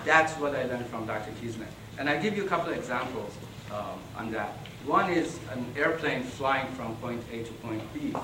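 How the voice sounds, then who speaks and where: A man giving a hesitant acceptance speech with many pauses and a flat, monotone delivery. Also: medium pitch. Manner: hesitant, many ums, self-described monotone. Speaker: award recipient. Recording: echoey hall, distant mic, background noise.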